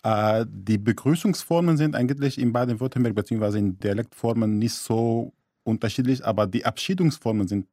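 A man's voice: medium pitch, a little fast, dark tone, dry recording, studio quality. A man from Syria, a radio guest, explaining things carefully with small slips in his German. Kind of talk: radio interview.

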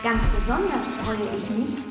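A woman's voice: fairly high pitch, expressive, a little slow. A woman playing a gracious, formal artificial intelligence ruler making an address in a radio drama. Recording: very echoey hall, music behind.

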